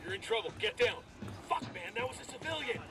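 An actor playing a brisk, blunt special forces squadmate with an American accent in a tactical video game. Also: male voice, very high voice, big pitch swings, gravelly, over loud music, exaggerated voice. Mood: urgent, alarmed.

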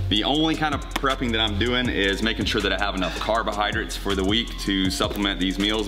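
A relaxed man in his thirties with a fairly low voice talks loosely about his routine, rambling with fillers. Music runs underneath him in the gym. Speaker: fitness coach. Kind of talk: casual chat. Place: gym, indoors, at a counter.

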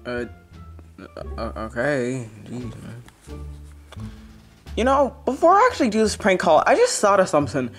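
A man's voice: on the high side, wildly swooping pitch, normal speed, pauses often, a bit bright, breathy edge, faint music behind, heavily acted. A man voicing an anxious young fox little brother, hesitant and rambling, in an amateur plush comedy skit. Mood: worried.

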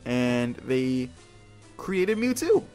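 A man's voice: medium pitch, big pitch swings, extremely slow, a little mellow, faint music behind. A man hosting a video game playthrough with casual, rambling, playful commentary, slangy and often repeating his phrases.

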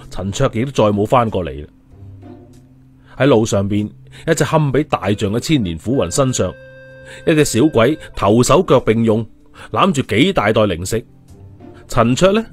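A man narrating an audiobook story in a storytelling style. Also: mid-range voice.

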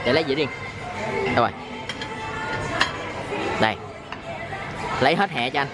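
A man's voice: medium pitch, moderate pace, over loud music, a loud crowd. A man in his thirties with a Southern Vietnamese accent, a food vlogger talking casually and playfully to viewers while he eats, filmed on a handheld camera at arm's length in a large indoor buffet. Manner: chatty, playful, talks to viewers while eating. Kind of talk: casual food review vlog.

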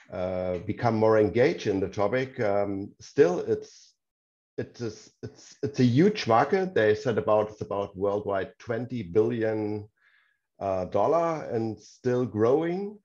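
German-accented man, low voice, lo-fi. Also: darkish tone. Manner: hesitant, searching for words. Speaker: audience member asking a question. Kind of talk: online meetup discussion.

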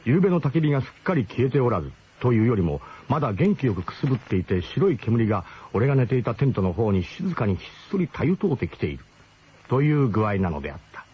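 Animated male storyteller, dull old radio sound. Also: mid-range voice, fast. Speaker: narrator. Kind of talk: dramatic first-person storytelling in a radio drama. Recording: dull, slightly noisy old radio broadcast.

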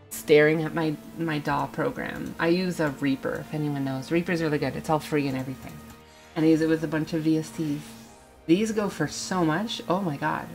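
A woman streamer chatting casually while playing, rambling and thinking aloud with lots of ums and small laughs, a bit sniffly. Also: low voice. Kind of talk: casual gaming livestream chat.

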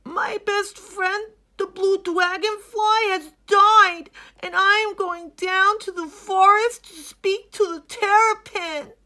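A woman voicing a gentle young male hippo who is polite and sad, in a high, strained voice. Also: fairly even intonation, slow, hardly any pauses, full character voice. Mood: sorrowful.

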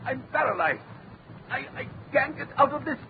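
Radio melodrama victim: terrified, begging in agony, high male voice, noisy narrow-band recording. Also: lively intonation, normal speed. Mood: panicked, pleading. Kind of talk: old-time radio melodrama acting.

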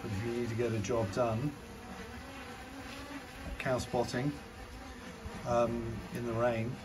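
A man's voice: medium pitch, flat delivery, takes it slowly, low-quality recording. A man giving a casual, informal demo commentary as a drone reviewer, thinking aloud hesitantly. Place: outdoors on a garden patio in rain. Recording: outdoor recording with clear background noise.

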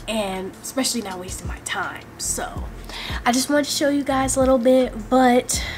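A woman in her twenties, hoarse from losing her voice, chatting casually to her viewers in a vlog. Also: a little high, slight background noise. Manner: chatty, drawn-out filler words. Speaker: vlogger. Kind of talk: casual chat to camera.

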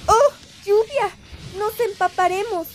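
A woman's voice: high-pitched, unhurried, slight background noise. A woman voicing a young female fox in a cartoon fan dub, a playful and reassuring big sister. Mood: playful, excited. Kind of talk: animated series fan dub.

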